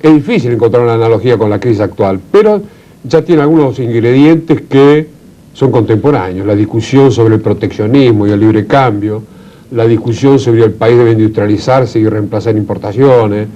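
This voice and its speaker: A man's voice: mid-range voice, normal speed, warm tone. A man with an Argentine accent explaining history conversationally, didactic and often checking that listeners follow, on a dull recording. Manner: didactic, with frequent check-in tags. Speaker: panel guest explaining history. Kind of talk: conversational analysis. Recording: dull, lo-fi sound.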